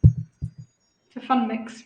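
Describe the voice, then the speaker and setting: A woman's voice: drawn-out words, lo-fi sound, a crowd in the background. A woman with a British accent coloured by German, chatting casually as a panelist on a livestream. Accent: British with German influence. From Britain. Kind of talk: casual chat. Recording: roomy echo.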